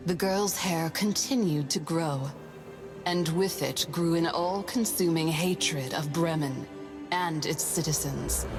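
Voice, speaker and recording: A solemn female storyteller for a fairy-tale adventure game. Her voice sits fairly low and she moves very slowly through each phrase, measured and grave, with music clearly playing underneath. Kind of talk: scripted narration.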